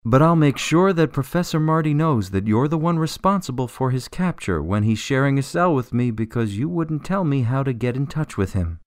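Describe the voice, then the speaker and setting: A man's voice: medium pitch, animated, moderate pace, hardly any pauses, no echo, clean studio sound, natural voice. A man playing a desperate, exasperated jailed politician in a radio drama, insistent and testy. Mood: testy, urgent.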